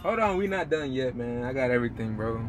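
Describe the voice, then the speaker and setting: A man's voice: medium pitch, expressive, fast talker, loud music behind the voice. A man chatting casually and slangily to a handheld camera in a truck cab, in a laid-back way. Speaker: car audio vlogger. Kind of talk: casual vlog chat. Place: inside a pickup truck cab.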